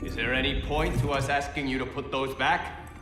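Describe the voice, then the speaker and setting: Earnest loyal warrior, mid-pitched male actor. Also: fairly even intonation, moderate pace, natural voice. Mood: firm, loyal. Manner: firm, earnest. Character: earnest, loyal young warrior monk defending his mentor. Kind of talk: video game cutscene acting. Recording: music behind.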